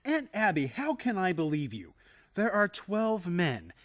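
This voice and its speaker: An actor playing a frantic, exasperated nephew in a radio play, agitated and flustered. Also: male voice, high-pitched, wildly swooping pitch, unhurried, a bit bright, exaggerated voice. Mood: exasperated alarm.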